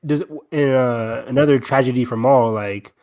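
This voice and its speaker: A man co-hosting a fan podcast in casual conversation, with an animated, jokey manner. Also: medium pitch, measured pace. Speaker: podcast co-host.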